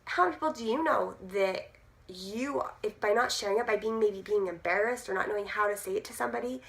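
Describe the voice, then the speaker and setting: A woman in her thirties, hoarse from losing her voice, chatting casually to camera with many fillers. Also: medium pitch, fast talker, fairly bright tone. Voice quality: gruff. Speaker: direct sales team leader.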